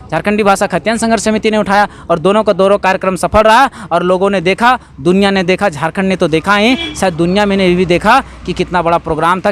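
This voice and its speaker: A high-voiced man with a Jharkhandi accent gives explanatory answers in an interview. He speaks emphatically, repeating phrases and asking rhetorical questions. Faint outdoor street and vehicle noise sits behind him. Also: fairly bright tone. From Jharkhand, India. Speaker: activist being interviewed.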